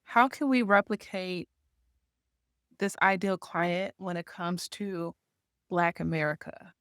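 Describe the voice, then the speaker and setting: A woman's voice: on the low side, slow, a bit bright, clean tone, dry recording, studio quality. A woman guest on a podcast interview, explaining her points step by step and checking in with "right?". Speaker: podcast guest, marketing association founder. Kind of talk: conversational interview.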